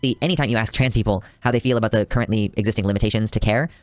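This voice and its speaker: Male video essayist, low-ish voice, rapid earnest delivery. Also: no room sound. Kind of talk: scripted video commentary.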